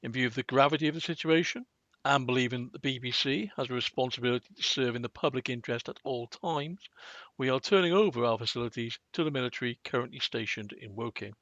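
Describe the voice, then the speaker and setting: A man's voice: mid-range voice, fast talker, a bit bright, dry recording, dull audio, natural voice. A man playing a broadcasting director who reads a formal official statement, in a radio drama. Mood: grave. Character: grave male broadcasting director making a statement.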